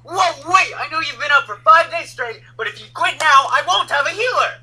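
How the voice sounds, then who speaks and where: Man acting a lively, bright-voiced dramatic gamer brother. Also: very high-pitched, fairly quick, pitched above the performer's own voice, full character voice, low-quality recording. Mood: panicked pleading. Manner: theatrical, swinging from weary drawl to yelling. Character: lazy, dramatic young gamer big brother. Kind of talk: anime fandub script reading.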